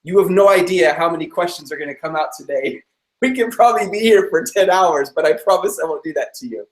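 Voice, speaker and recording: A man's voice: on the high side, brisk, reverberant. A man hosting a live interview from his office, talking eagerly and chatting with a ready laugh. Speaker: host. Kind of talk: upbeat live-stream interview. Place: his own office room.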